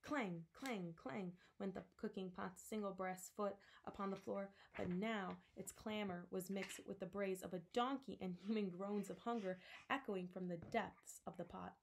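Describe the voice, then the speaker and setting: A woman reading a storybook aloud with expressive, dramatic storytelling delivery. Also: mid-range voice, normal speed, gravelly, slight background noise.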